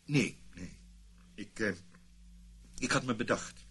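An actor playing a guarded, indignant middle-aged former army officer under questioning in a radio play, curt and evasive. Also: male voice, fairly low pitch, takes it slowly, a bit bright, breathy edge, exaggerated voice. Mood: reluctant recounting.

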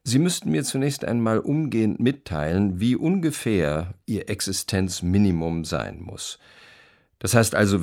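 An actor playing a brisk, benevolent publisher, delivering formal business letters emphatically in a radio play. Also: male voice, low-pitched, vocal fry, a little mellow, heavily acted.

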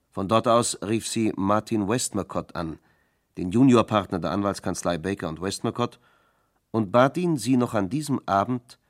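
Male narrator for crime drama: low register, sober factual storytelling, close dry recording. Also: dry recording. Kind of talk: scripted narration of a radio crime play.

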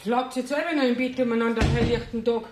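A woman playing a sharp-tongued, middle-aged working-class landlady with a Bavarian accent in a radio play. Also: on the high side, fairly even intonation, fast, slight background noise, natural voice. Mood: scolding. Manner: scolding, blunt. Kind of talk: 1970s German radio play acting in dialect.

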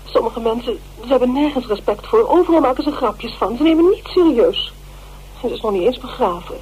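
An actress playing a prim, loyal older theatre dresser in a radio drama, hesitant and disapproving. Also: female voice, fairly high pitch, lively intonation, a little fast, a little mellow, slight background noise, natural voice, rough recording. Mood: indignant.

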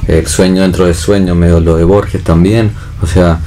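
An Argentine man with a very low, dark and relaxed voice shares thoughts in a panel discussion. He feels his way through sentences, often ending with a short questioning tag. There is faint noise behind him. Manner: halting, searching, frequent tag questions. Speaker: theatre director and actor, panel guest. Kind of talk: reflective unscripted panel discussion.

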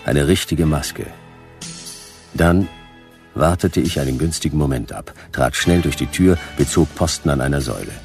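Noir private eye, radio play: rock-bottom pitch, creaky, terse, deadpan. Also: male voice, moderate pace, faint music behind. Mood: terse, watchful. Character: laconic, hard-boiled male private detective. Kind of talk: noir radio drama narration and dialogue.

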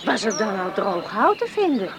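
A woman's voice: mid-range voice, big pitch swings, normal speed, heavy background noise. A woman acting a young, plainspoken country girl who herds animals in a Dutch radio play. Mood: friendly chatting.

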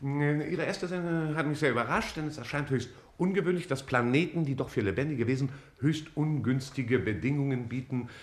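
A man playing a scientist in a 1950s German radio drama, reading messages aloud in a careful, halting way. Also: mid-range voice, lightly acted. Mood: halting, intent.

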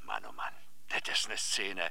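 An actor playing an excitable, flattering male publisher in a German radio drama, theatrical and wry in manner. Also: normal speed, no echo. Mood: gleeful, excited.

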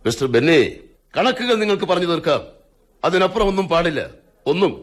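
A man playing a cunning, domineering older father, a retired forest ranger, in a radio drama, speaking in a stern, probing, measured way. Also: high voice, expressive. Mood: commanding. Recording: radio drama with music behind.

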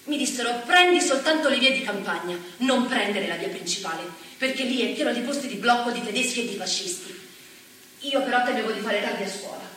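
A woman's voice: fairly high pitch, lively intonation, brisk, a bit bright. An actress playing a plucky, naive young woman courier of the resistance, recounting in an ingenuous theatrical way. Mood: eager recollection. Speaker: stage actress. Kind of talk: theatrical monologue, storytelling. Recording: very echoey, distant stage recording, faint noise.

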